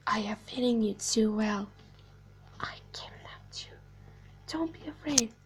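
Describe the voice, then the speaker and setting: Woman voicing an eerie, high-pitched animatronic clown girl. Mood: hushed, menacing. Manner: slow, deliberate, hushed storytelling, dropping into whispers. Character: eerie soft-spoken animatronic girl clown, sinister and falsely sweet. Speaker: amateur voice actor. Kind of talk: video game character monologue.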